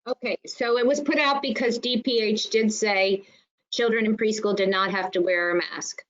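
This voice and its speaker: Dull, lo-fi online meeting audio carries a woman's mid-pitched voice. She talks informally as a nurse on a panel, laying out explanations with firm emphasis. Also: steady tone, normal speed. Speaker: school nurse coordinator on a panel. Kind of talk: informal spoken presentation and discussion in an online meeting.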